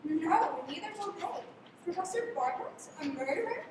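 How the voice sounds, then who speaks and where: A woman's voice: very high voice, very animated, moderate pace. A young woman playing a skeptical, headstrong young lady in a live radio play, arch and indignant. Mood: mocking, skeptical. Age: young adult. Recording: echoey, noisy livestream, distant mic.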